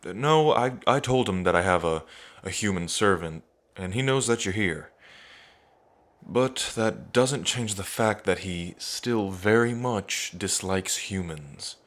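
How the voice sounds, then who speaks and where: A man's voice: sits low, expressive, takes it slowly, a bit bright, exaggerated voice. A man playing a young dragon king in an ASMR roleplay, cocky, playful and affectionate, talking in a casual, teasing way straight to the listener. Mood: casual warning.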